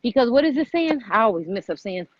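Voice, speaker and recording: A woman's voice: a little high, very animated, quick pace, dry recording, muffled recording. A woman hosting a casual motivational fitness vlog outdoors, repeating her points as she urges listeners on. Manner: repetitive, exhorting. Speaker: vlog host.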